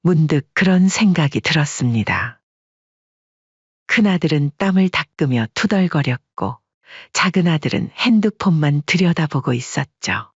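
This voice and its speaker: A woman narrating a scripted audio story in a calm, measured way. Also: low-pitched, slightly rough, dry recording, highs cut off.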